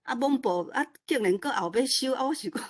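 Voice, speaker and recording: A woman's voice: sits high, animated, fairly quick. A woman with a Taiwanese accent speaking informally and modestly in an online meeting, rambling and repeating words as she searches for them. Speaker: new organiser of an online literature event. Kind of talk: informal unscripted self-introduction.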